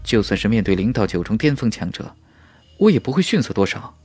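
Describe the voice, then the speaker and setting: A man's voice: fairly low pitch, very animated, brisk, darkish tone, husky, faint music behind. A man voicing a confident young martial cultivator. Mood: pleased, confident.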